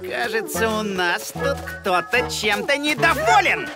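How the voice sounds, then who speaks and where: A woman's voice: a little high, lively intonation, a little slow. A woman voicing a bossy, grumpy animal villain in a children's cartoon, barking orders with scorn. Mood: grumpy, scornful. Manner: barking orders, dismissive, sneering. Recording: loud music behind the voice.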